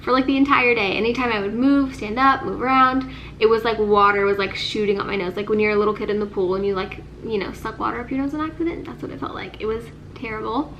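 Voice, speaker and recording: A woman's voice: a little high, fast, a bit bright, muffled recording, a little hiss or hum. A young woman chatting casually to camera, her voice nasal and congested from illness, filling her talk with "like.". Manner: chatty, sprinkles speech with "like". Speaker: vlogger.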